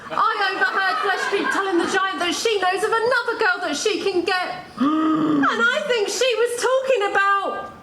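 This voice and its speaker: A woman's voice: very high-pitched, normal speed. A woman playing a hapless, melodramatic pantomime cow, with a plaintive comic delivery on stage. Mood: anxious, plaintive. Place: theatre stage. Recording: echoey theatre stage, faint noise.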